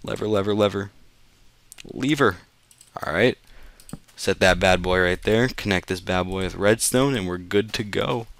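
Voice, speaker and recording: A man's voice: deep voice, a little slow, creaky, long pauses, fairly bright tone, no echo. A young man with a slightly sick, off-sounding voice, hosting a casual, rambling game commentary as a channel host. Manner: rambling, easygoing, self-correcting.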